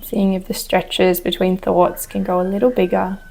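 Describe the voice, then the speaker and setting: Woman guiding meditation, even and creaky. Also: mid-range voice, poor audio. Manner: gentle, unhurried instruction with soft pauses. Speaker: yoga and meditation teacher. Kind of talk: guided meditation. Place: outdoors on a wooden deck. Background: chickens and a rooster.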